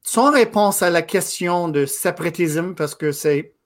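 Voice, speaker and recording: A man in his thirties, a native English speaker from Quebec, giving a guest interview in a thoughtful, halting way. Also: high voice. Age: 30s. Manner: thoughtful, halting, with frequent pauses and restarts. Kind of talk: casual interview conversation.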